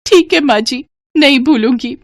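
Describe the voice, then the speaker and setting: A woman's voice: high-pitched, moderate pace, slight background noise, pitched above the performer's own voice. A woman voicing a meek, mistreated young woman who pleads sorrowfully, for a cartoon moral story. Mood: submissive. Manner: plaintive, pleading.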